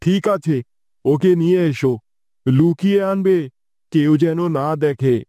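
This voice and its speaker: A man's voice: on the high side, measured pace, dark tone, no echo, lightly acted. A man playing a middle-aged king in a fairy-tale cartoon, brooding and commanding, with a grave theatrical manner. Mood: commanding, furtive.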